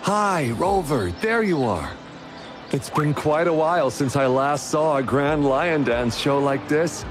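A man playing a friendly, excitable townsman in video game story dialogue, with an amiable, expressive delivery. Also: fairly high pitch, unhurried. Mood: excited, curious. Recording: game music behind voice. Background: music.

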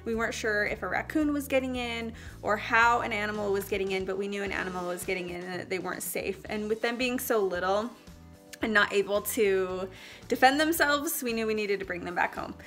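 Bright-voiced chatty young woman. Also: mid-range voice, a little fast, faint music behind. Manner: chatty, upbeat, talks straight to viewers. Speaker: home vlogger. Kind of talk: casual vlog chat to camera. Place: indoors, living room with ceiling fan. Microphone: wireless earbud mic.

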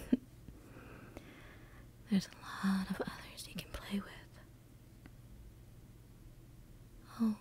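Woman whispering as a slow, sinister demon girl. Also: mid-range voice, darkish tone, close to an everyday voice. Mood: menacing. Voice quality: breathy whisper. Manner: slow, teasing, drawn-out delivery. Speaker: ASMR roleplay performer. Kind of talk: whispered ASMR roleplay.

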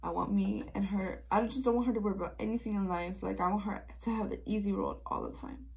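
A woman's voice: medium pitch, big pitch swings, fast talker, vocal fry, a little mellow. A nineteen-year-old woman from the Chicago area, chatting earnestly to the camera while doing her makeup and using many fillers like "you know". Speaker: beauty vlogger. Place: small indoor room in front of a painted brick wall.

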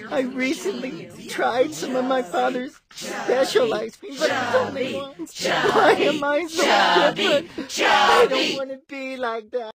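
A woman playing a lonely, bullied schoolboy in a horror game, whimpering and bitter, speaking haltingly. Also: high-pitched, animated, a crowd in the background. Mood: tearful self-pity. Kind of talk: horror game cutscene acting.

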